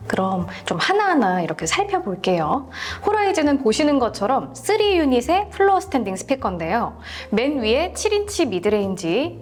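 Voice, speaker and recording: A woman co-host presents product details in a prepared style. Her voice is slightly high with animated, varied intonation. Soft music sits in the background.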